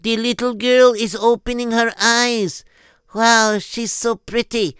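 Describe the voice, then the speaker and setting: A man's voice: very high-pitched, level tone, takes it slowly, a bit bright, clear, resonant tone, dry recording, voice pushed up, exaggerated voice. A man voicing a six-year-old princess who eagerly calls out to her father. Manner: eager, pleading. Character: excited little girl princess, about six.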